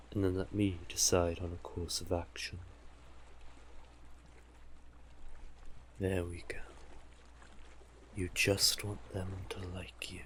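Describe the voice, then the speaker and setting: A man voicing a cunning, monstrous sea witch who coaxes silkily in slow, theatrical endearments, in an intimate audio roleplay with a gravelly, husky voice. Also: low voice, a little hiss or hum. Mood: soothing, probing.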